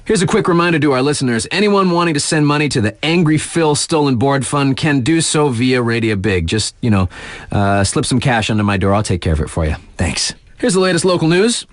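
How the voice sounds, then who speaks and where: Animated, sardonic radio DJ played by a man. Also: medium pitch, fairly quick, dry recording, studio quality, natural voice. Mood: breezy, wry. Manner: wry, sarcastic, slangy and conversational. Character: laid-back, sardonic young male mountain-town radio DJ. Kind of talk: scripted local radio news patter.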